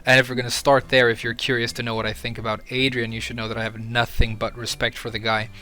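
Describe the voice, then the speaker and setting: A man's voice: mid-range voice, level tone, fast talker, bright, forceful tone. A young man from Sweden talking candidly to camera as a video creator, earnest and a little hesitant with fillers. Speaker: YouTuber. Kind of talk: casual talk to camera.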